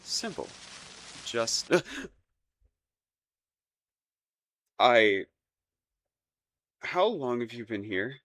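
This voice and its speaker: A man's voice: mid-range voice, big pitch swings, very slow, a bit bright, full character voice. A man playing a flustered, lovestruck young crown prince in a romantic audio roleplay, stammering and rambling nervously in formal courtly wording. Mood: flustered, sheepish.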